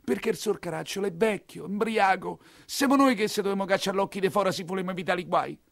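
Man playing a fretful Roman mother, higher-pitched. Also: fast, creaky, fairly bright tone, dry recording, clean studio sound. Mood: anxious, nagging. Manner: nagging, admonishing. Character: anxious, fussing Roman mother warning her son. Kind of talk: theatrical monologue character.

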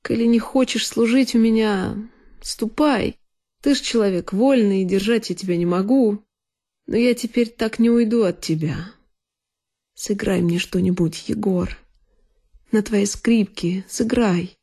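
Woman as an earnest, mellow pleading landowner. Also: mid-range voice, a little slow. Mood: tearful pleading. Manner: earnest, insistent, imploring. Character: sentimental, stubborn male landowner, pleading and fatherly. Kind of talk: dramatic literary monologue in audio play.

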